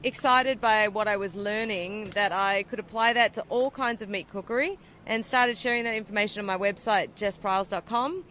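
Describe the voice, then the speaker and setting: A woman's voice: mid-range voice, normal speed, few pauses, fairly bright tone, slight background noise. A woman in her thirties with an Australian accent, explaining her barbecue cooking with enthusiasm in an outdoor interview at a food event. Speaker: barbecue cook.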